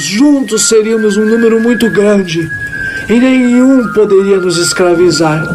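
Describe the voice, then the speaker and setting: A woman voicing a devout small creature, telling its tale in solemn, reverent, dramatic narration with deliberate pauses. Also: medium pitch, close to an everyday voice, poor audio. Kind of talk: dramatic first-person scripted narration.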